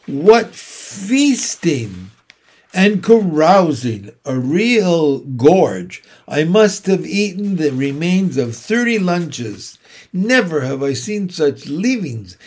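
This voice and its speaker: A husky, sneering rat from a children's storybook, acted out by a man. He is greedy and self-satisfied, stretching his words slowly and with relish. The voice sits fairly high and hoarse. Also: heavily acted. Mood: gloating, stuffed. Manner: drawn-out, relishing, emphatic delivery. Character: gluttonous, sneering old male barn rat.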